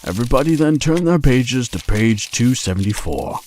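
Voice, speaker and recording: A man narrating a fan-made visual novel in an expressive, scripted reading. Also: medium pitch, normal speed, a little hiss or hum. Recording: narration over background music.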